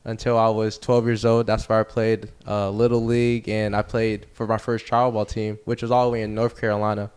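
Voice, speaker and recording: A young man from the United States, a baseball player guest, talking casually in an interview with a relaxed, rambling way of telling stories. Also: lowish voice, fairly quick, few pauses, clear, resonant tone. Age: young adult. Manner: relaxed, rambling storytelling with fillers.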